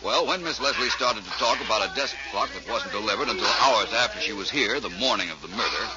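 An American actor playing a confident, smooth-talking private detective in 1940s radio drama, speaking in a deliberate, polite, wry way. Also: male voice, fairly low pitch, lively intonation, natural voice. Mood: patient explaining. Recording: dull, noisy 1940s radio recording.

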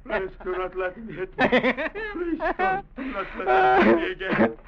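An actor playing a beaten bully of a captain, now a whimpering, crying coward, in a vintage radio drama. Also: male voice, extremely high pitch, very animated, moderate pace, a loud crowd, heavily acted. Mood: pleading, crying. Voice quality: whimpering, creaky. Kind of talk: 1950s radio drama acting. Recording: muffled vintage radio recording.

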